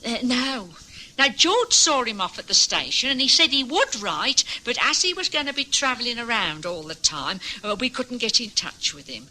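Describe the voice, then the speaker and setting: A woman's voice: on the high side, very animated, moderate pace, pushed and bright, exaggerated voice, low-quality recording. An actress playing a chatty, homely middle-aged landlady in a vintage radio drama. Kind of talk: 1950s-60s radio drama acting.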